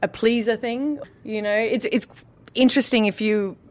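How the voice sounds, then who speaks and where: A woman in candid conversation, telling stories in an animated way and acting out remembered dialogue. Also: fairly high pitch, moderate pace.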